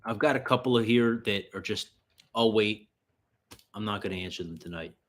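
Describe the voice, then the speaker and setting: Profane, rambling livestream host. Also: male voice, on the low side, normal speed. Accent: New York area. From US tri-state area. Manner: loose and profane, rambling with fillers. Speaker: livestream host answering viewer questions. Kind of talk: casual livestream Q&A.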